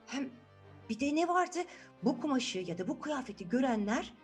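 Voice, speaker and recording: A woman telling a fairy tale to children in an animated, conversational way, often addressing them directly. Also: sits high, normal speed, soft background music. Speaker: children's storyteller.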